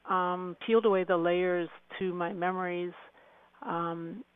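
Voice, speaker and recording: A woman speaking as a radio interview guest, answering conversationally in an earnest, reflective and halting way. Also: fairly low pitch, steady tone, clean tone, dry recording, narrow, tinny audio.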